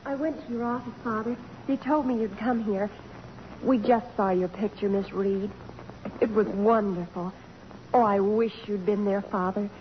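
A woman's voice: mid-range voice, fairly even intonation, normal speed, lightly acted. An actress playing a tender, sincere young college woman, polite and emotional, in a radio drama. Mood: grateful. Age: young adult. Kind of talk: 1950s radio drama acting. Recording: muffled, noisy old radio broadcast.

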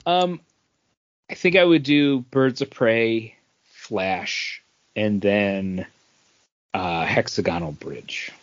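A man's voice: lowish voice, slow, long pauses, no room sound, muffled recording. A man co-hosting a podcast in a casual, conversational style. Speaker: podcast co-host. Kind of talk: casual chat about comics.

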